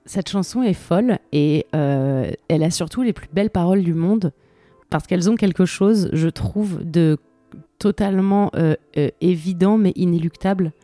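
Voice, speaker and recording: A woman's voice: lowish voice, normal speed, mellow, no room sound, soft background music. A woman on a podcast, enthusiastically talking through song lyrics with many hesitations. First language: French. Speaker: podcast co-host. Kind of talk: casual chat about song lyrics.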